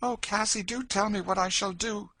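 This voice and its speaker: Man voicing a high, pleading, frightened young woman. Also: steady tone, moderate pace, pushed and bright, no echo, full character voice. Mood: horrified, pleading. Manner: breathless, anxious, imploring. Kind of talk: audiobook character acting.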